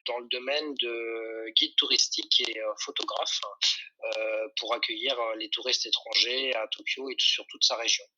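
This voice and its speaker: A man's voice: mid-range voice, fairly even intonation, moderate pace, bright, forceful tone, muffled recording, a little hiss or hum. A man, answering interview questions in an informal, enthusiastic, explanatory way. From France. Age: 40s. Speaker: podcast guest, tour guide and photographer.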